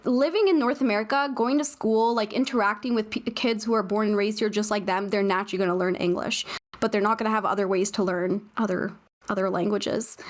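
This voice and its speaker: A woman's voice: mid-range voice, steady tone, fairly quick. A young woman from Canada, a guest on a streaming podcast, talking casually and rambling with many fillers and restarts.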